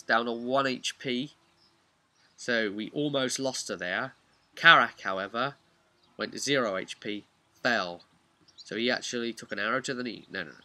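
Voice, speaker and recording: A man's voice: medium pitch, bright, forceful tone. A man speaking informally as a game master, recounting a tabletop campaign with emphatic asides. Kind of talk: vlog storytelling, informal explanation.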